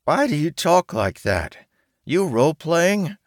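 A man's voice: fairly high pitch, big pitch swings, slow pace, no echo, clean studio sound, pitched above the performer's own voice. A man voicing a cheeky, blunt male adventurer in an audiobook, teasing and curt. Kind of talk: audiobook character acting.

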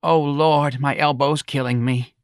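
A man's voice: on the high side, fairly even intonation, measured pace, dry recording, studio quality, voice pushed up, natural voice. Man doing a weary, complaining New mama. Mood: weary, plaintive. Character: weary complaining working-class New Orleans mother.

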